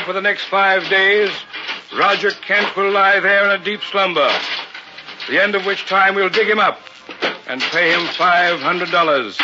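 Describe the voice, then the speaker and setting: A man's voice: sits high, background noise. An actor playing a pompous, evasive carnival showman and hypnotist in a radio horror drama. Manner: grandiose barker patter, curt when challenged. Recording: old muffled radio recording with music.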